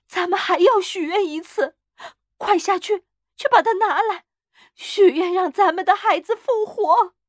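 A woman's voice: very high voice, measured pace, no echo, clean studio sound, pitched above the performer's own voice. Woman acting shrieking, hysterical old wife. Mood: feverish urging. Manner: shrieking, breathless, frantic urging. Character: hysterical old wife, grief-crazed, fiercely demanding.

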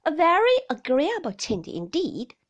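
A woman voicing an emotional young mother, tearful and defensive, pleading in a high, shrill voice. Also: huge pitch swings, measured pace, dull audio, heavily acted. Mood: pleasant.

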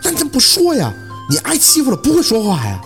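A man's voice: medium pitch, wildly swooping pitch, fairly quick, faint music behind. Man voicing a hot-tempered, blunt schoolkid. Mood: indignant, heated. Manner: blunt, pushy, colloquial.

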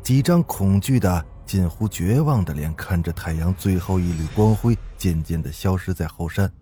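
A man's voice: low-pitched, normal speed, warm tone, faint music behind. A man hosting and narrating ghost stories in a conversational, folksy, suspenseful storytelling style. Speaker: horror podcast host and narrator.

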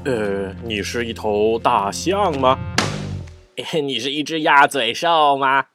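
A woman's voice: a bass voice, slow. A woman voicing a naive, curious, puzzled young rabbit in a children's audio drama, asking things in a hesitant, wondering way. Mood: innocent curiosity. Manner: wondering, hesitant questions, innocent. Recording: music behind the voice.

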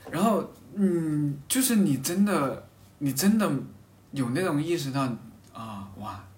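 A man in casual, reflective conversation, telling personal stories haltingly, often repeating and rephrasing his phrases. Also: fairly high pitch, animated, a little mellow. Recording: roomy echo with faint background noise.

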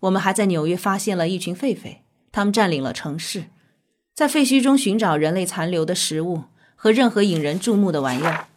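Lowish-voiced, measured scientist. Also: female voice, animated. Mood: measured, serious. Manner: measured, explanatory. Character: calm, earnest female scientist with mystical faith. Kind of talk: audio drama dialogue.

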